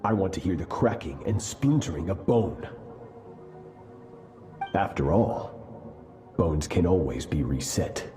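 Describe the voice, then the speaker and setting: A cold, merciless sword master. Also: male voice, low voice, expressive, dark tone. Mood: menacing. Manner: slow, grave and menacing. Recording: echoey game audio over music. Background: game music.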